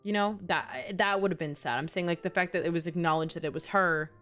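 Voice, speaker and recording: A woman streamer with a New York accent chats through a game. Her voice sits a little low, and she muses aloud in loose, casual phrases. Light music plays behind her. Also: a little fast, a bit bright. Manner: musing, thinking aloud in loose, chatty phrasing. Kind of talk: casual game livestream commentary.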